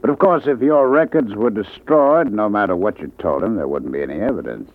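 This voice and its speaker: An actor playing a mockingly polite, threatening gambler boss in a vintage radio crime drama. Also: male voice, lowish voice, lively intonation, fairly quick. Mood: veiled menace. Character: menacing, smooth-talking male gambler boss, mockingly polite. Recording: muffled narrow-band old radio, noisy.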